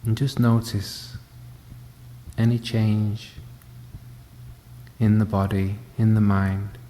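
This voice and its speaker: A man guides a meditation in a dark, mellow, low voice. His delivery is calm and gentle, with long pauses between phrases. The sound carries a long reverberant tail. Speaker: meditation teacher. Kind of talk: guided body scan meditation. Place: indoor room at a wellness centre. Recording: reverberant hall, faint background noise.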